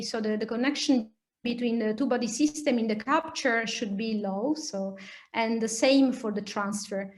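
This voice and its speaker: Italian-accented woman lecturing, halting delivery. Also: on the high side. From Italy. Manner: hesitant, halting explanations with many pauses. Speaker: lecturer, nuclear physicist. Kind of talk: academic lecture and question answering.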